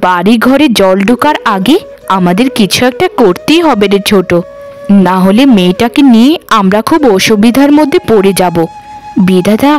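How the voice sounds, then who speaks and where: A woman's voice: medium pitch, a little mellow, lightly acted. A woman voicing a careworn, worried village mother in plaintive, melodramatic cartoon dialogue. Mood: foreboding. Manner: plaintive, lamenting.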